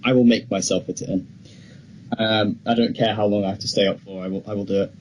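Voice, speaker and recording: A young man with a fairly low voice co-hosts a film podcast. He talks in a casual, chatty way and gives his opinions freely. The recording is home-made, with faint noise behind him. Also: fairly even intonation, fast, a little mellow, rough recording. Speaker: podcast co-host.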